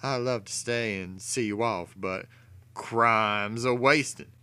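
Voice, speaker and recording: A man voicing a sneering, gloating gangster villain in a low-volume, menacing growl for a storybook reading. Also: slow pace, clean tone, no room sound. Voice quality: growling, hushed.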